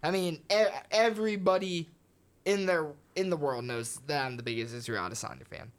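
A woman's voice: low-pitched, fairly quick. A woman from the United States co-hosting a sports podcast, chatty and playfully teasing her co-host. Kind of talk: casual sports podcast chat.

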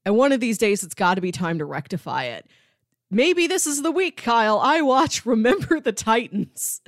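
A woman co-hosting a podcast in relaxed, casual conversation. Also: a little high, moderate pace, no room sound, clean studio sound. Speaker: podcast co-host. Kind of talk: casual chat.

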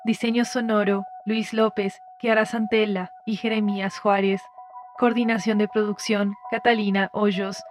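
A woman reading scripted podcast announcements and credits in a measured announcer's manner. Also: medium pitch, flat delivery, slight background noise. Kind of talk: scripted narration. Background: music.

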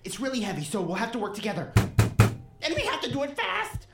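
Woman as an intense kid with wildly swinging pitch. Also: wildly swooping pitch, fast talker, loud music behind the voice. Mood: eager. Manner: earnest, urgent, dramatic. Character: intense, persuasive runaway child captive. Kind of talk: audio drama acting. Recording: roomy, echoing student audio drama.

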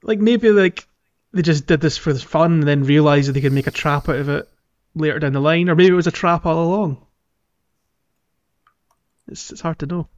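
A man's voice: on the high side, moderate pace, muffled recording. A man co-hosting a podcast, chatting casually about a video game in a hesitant, chuckly, conversational way. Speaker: podcast co-host.

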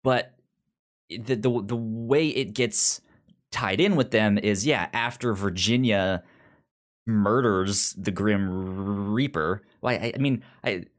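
Male podcast co-host, low voice, informal and conversational, slips into paraphrased dialogue while retelling stories. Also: slow pace. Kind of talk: casual comic-book discussion.

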